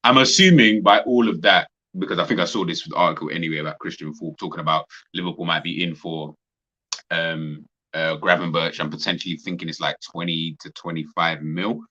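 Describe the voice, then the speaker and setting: A young man, co-hosting a casual football chat, slangy and animated with frequent laughter. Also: low-pitched, fairly quick, highs cut off. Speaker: podcast co-host.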